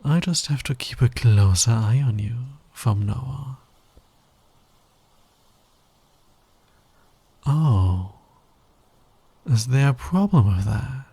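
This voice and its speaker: Male actor as smug fantasy villain, very breathy husky voice, gravelly fry, teasing, dominant, ASMR roleplay. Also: mid-range voice, expressive, dark tone, dry recording, heavily acted. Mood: teasing, probing. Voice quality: breathy, husky, often dropping to a whisper. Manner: teasing, slow, deliberate, dominant. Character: flirty, smug male fantasy villain, patient, smitten with hero. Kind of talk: intimate ASMR roleplay acting. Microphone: close microphone, dry sound.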